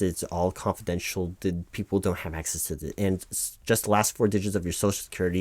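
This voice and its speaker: A man playing a patient, officious human resources officer, haltingly reading out form fields in a one-sided ASMR roleplay. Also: low-pitched, darkish tone, dry recording, close to an everyday voice. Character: officious, patient male HR officer doing paperwork. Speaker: human resources interviewer filling out forms.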